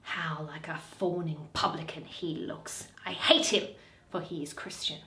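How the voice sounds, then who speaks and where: Woman playing a shrewd, bitter moneylender, slow and deliberate. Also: sits low, fairly even intonation, bright, forceful tone, voice pushed down, heavily acted. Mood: bitter, hateful. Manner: deliberate, drawn-out, weighing each phrase. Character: shrewd, bitter male moneylender, brooding and grudging. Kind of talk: dramatic Shakespeare reading. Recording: roomy echo.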